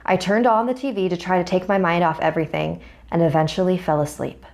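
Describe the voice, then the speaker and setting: A woman's voice: fairly low pitch, steady tone, fast talker, gravelly, a little mellow. A woman narrating a scripted first-person horror story as a storyteller. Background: music.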